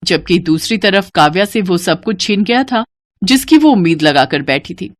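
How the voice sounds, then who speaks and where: A woman's voice: on the low side, lively intonation, normal speed. A woman reading scripted narration for a cartoon moral story as the storyteller.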